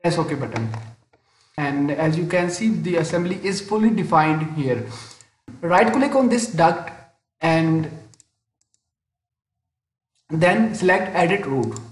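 A man with a high-pitched voice narrates a software tutorial step by step. He speaks very slowly and hesitantly, pausing in the middle of sentences. The recording is roomy, with audible echo around his voice. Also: animated. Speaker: tutorial instructor. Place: indoor room, screen-recording voice-over. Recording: roomy, echoing voice-over.

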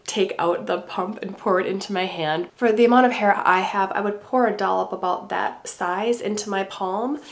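A middle-aged woman with a congested voice from a cold, calmly explaining her hair care routine to camera at home. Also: mid-range voice, moderate pace, few pauses. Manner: calm, gentle, explaining step by step. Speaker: beauty vlogger. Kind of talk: casual talk to camera. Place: home room indoors.

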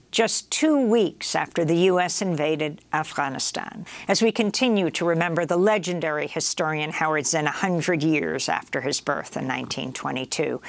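A woman in her sixties reading a scripted news introduction at a measured, even pace in a TV studio, on a lapel microphone. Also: lowish voice, no echo. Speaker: news anchor. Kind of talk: scripted narration.

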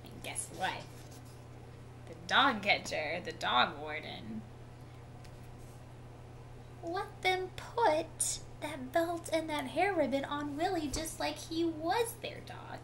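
Slow-paced woman reading a picture book. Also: high-pitched. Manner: expressive, animated storytelling. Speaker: teacher reading aloud. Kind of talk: picture-book read-aloud for children. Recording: clearly noisy home webcam recording.

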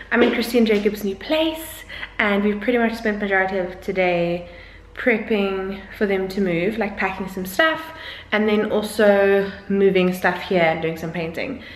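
A woman's voice: medium pitch, roomy sound, poor audio. A woman in her twenties chatting casually to a handheld camera, upbeat and full of filler words, filmed in empty rooms and a parked car. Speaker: vlogger. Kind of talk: casual vlog chat to camera. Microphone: handheld camera at arm's length.

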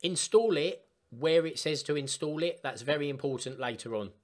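A man presenting an informal step-by-step software tutorial in an emphatic, instructional way. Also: fairly high pitch, normal speed. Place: voice-over for screen recording.

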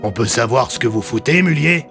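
A man's voice: medium pitch, expressive, fairly quick, a bit bright, soft background music. A man voicing an exasperated, curt police commissioner in an audio story, speaking impatiently.

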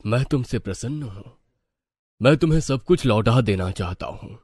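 A man voicing a benevolent god in a cartoon myth, grave and measured. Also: mid-range voice, expressive, darkish tone, slightly rough, dry recording. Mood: solemn, gracious. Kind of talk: animated Hindi mythological story acting.

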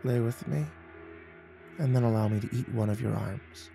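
Man voicing a hushed, seductive succubus demoness. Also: medium pitch, expressive, soft background music. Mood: seductive crooning. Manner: crooning, breathy, slow, teasing menace. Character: seductive, predatory female succubus demon, scornful and ancient. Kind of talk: audiobook character voice.

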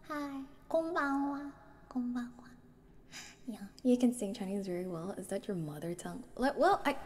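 A young woman streamer chatting in a soft, whispery ASMR voice, often giggling, close to the mic. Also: on the high side. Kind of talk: soft ASMR chat and singing. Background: soft guitar.

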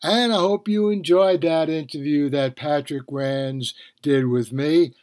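An older man hosting a podcast, talking casually and deliberately. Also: on the high side, steady tone, a little mellow. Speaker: podcast host, author and professor.

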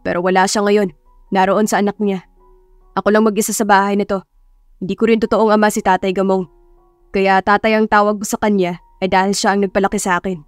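A woman voicing a calm, earnest young bachelor in love, speaking softly and reserved in an audiobook romance. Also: mid-range voice, dry recording, soft background music, close to an everyday voice. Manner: calm, soft, measured.

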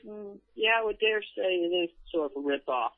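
A man's voice: high-pitched, brisk. A man chatting casually over a phone call, giving brief, hesitant answers. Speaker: interviewee. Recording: muffled tinny telephone line.

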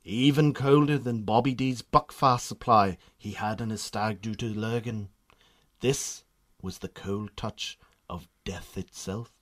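A man's voice: lowish voice, unhurried. A man with a Northern Irish accent reading scripted narration as the narrator of a story.

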